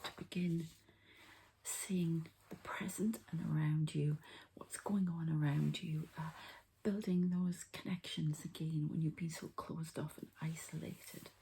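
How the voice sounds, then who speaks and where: A woman whispering gently and breathily as she hosts an unhurried, hushed ASMR energy-healing session. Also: low voice, steady tone, gravelly, slightly rough, rough recording. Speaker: ASMR host and energy healer.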